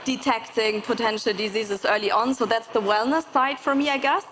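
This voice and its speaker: A woman's voice: on the high side, moderate pace, fairly bright tone. A woman with a German accent explaining things as an investor on a conference panel. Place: conference main stage. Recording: faint ambient noise, live event stage.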